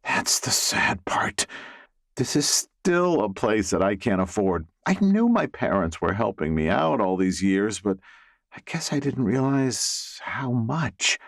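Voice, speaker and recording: A man's voice: on the low side, very animated, slow pace, no room sound, studio quality, voice pushed up, full character voice. A man voicing an anxious, dismayed young woman with an earnest, emotive delivery in an audiobook. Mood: upset, tearful.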